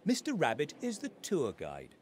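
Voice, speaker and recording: An actor playing a cheerful storybook narrator, reading scripted narration for a children's cartoon game. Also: male voice, a little high, wildly swooping pitch, normal speed, breathy edge, heavily acted.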